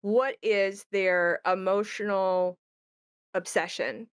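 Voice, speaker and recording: A woman's voice: medium pitch, steady tone, takes it slowly, clear, resonant tone, no echo, clean studio sound. A woman co-hosting a casual promotional chat about her creative work, with a playful manner.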